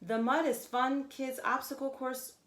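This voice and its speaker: A woman voicing boastful, excitable schoolchildren in a storybook, eager and emphatic, in an echoey room. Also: high voice, measured pace, voice pushed up. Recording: echoey, roomy sound.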